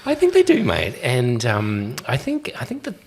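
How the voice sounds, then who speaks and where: A man hosting a podcast, relaxed and affable, talking casually with plenty of fillers. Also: mid-range voice, normal speed, gravelly. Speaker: podcast host.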